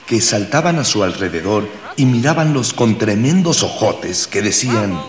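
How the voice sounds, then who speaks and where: A man's voice: on the low side, sing-song, moderate pace. A man narrating a scripted radio serial in a florid, old-fashioned storytelling manner. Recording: echoey long reverb, clear hiss.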